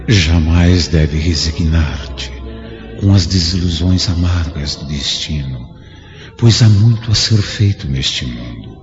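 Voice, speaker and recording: An actor playing the serene spirit of a departed Roman friend in a radio drama, exhorting solemnly in Portuguese. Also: male voice, extremely low pitch. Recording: dull lo-fi radio drama recording. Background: faint background music.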